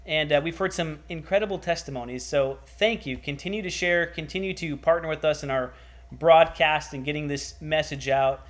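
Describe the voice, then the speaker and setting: A man's voice: fairly high pitch, normal speed. A man hosting a livestreamed church broadcast, upbeat and enthusiastic as he interviews a guest. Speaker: church host.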